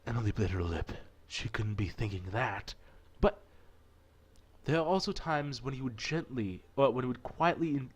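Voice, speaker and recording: A man with a mid-pitched voice hosts a casual let's play. He reads the story text aloud as narrator and breaks off into playful, irreverent asides of his own. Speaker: gaming video host.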